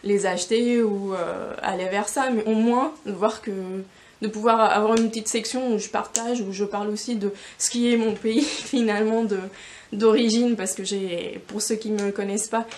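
A woman's voice: mid-range voice, fairly even intonation, moderate pace, a little mellow, slight background noise. Young Spanish-born woman, non-native speaker, casual podcast host, rambling with fillers, camera mic in a shop. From Spain. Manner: rambling, many fillers and restarts, gesturing with hands. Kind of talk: casual video podcast chat. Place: yarn shop in front of shelves.

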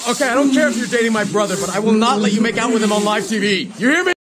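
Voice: medium pitch, very fast, a loud crowd, natural voice. A performer voicing an outraged, protective skeleton brother in a fan comic dub.

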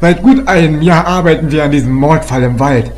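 Man as a high-voiced, pushy police official. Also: a little fast, a little mellow, close to an everyday voice. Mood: eager. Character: brash, jovial, pushy fat male police official. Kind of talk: amateur comedy audio drama. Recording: faint background noise.

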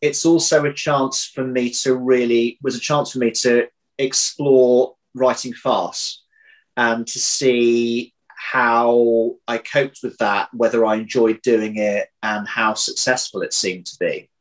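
A man in his thirties answering interview questions as a writer, speaking thoughtfully and haltingly with a self-deprecating touch, in a room at home. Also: medium pitch, steady tone, rough recording. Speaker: interviewed audio drama writer. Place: domestic room indoors.

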